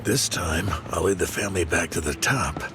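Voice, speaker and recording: An actor voicing a haughty, ambitious, impatient family heir in a video game, disdainful and determined. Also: male voice, deep voice, normal speed, over music, close to an everyday voice. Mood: scornful, resolute. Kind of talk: scripted video game dialogue.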